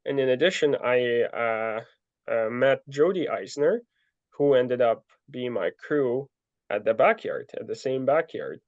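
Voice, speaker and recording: A young man telling his story in a relaxed interview, full of fillers and restarts. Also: mid-range voice, a little slow, no room sound. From Ukraine. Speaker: guest, ultra trail runner. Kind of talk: casual interview answers. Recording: dull lo-fi dry sound.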